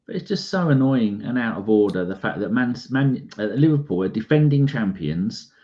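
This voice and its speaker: A man's voice: medium pitch, normal speed, highs cut off. A man from London co-hosting a football podcast, ranting and acting out conversations in casual chat. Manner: animated, ranting, acts out conversations. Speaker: podcast co-host.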